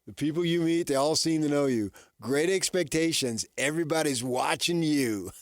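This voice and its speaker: A man's voice: on the high side, moderate pace, dry recording. A man hosting a sports radio show, talking casually in a folksy, conversational way and often tossing out rhetorical questions.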